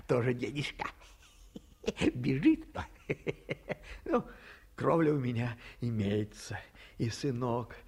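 Casting: husky, gravelly old provincial man, slow folksy talker, jovial to tearful. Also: mid-range voice, a little mellow, heavily acted. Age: older. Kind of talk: 1950s radio drama acting.

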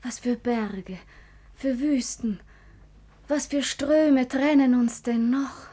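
Dreamy devout young girl in a classic radio play, high voice, slow rapturous delivery. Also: mellow, slightly rough, heavily acted. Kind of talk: classical German radio drama acting.

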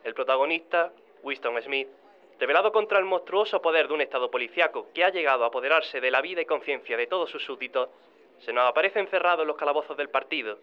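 A man's voice: a little high, quick pace, clear, resonant tone, lo-fi sound. A man with a Spanish accent from Spain, presenting and narrating a scripted radio drama in a formal, theatrical way. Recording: music behind the voice.

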